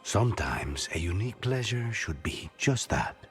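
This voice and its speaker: A duty-bound video game assassin, brooding and guarded. His low voice moves widely in pitch and carries real intensity. Music plays behind him. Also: big pitch swings, normal speed, a bit bright. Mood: soft, flirtatious.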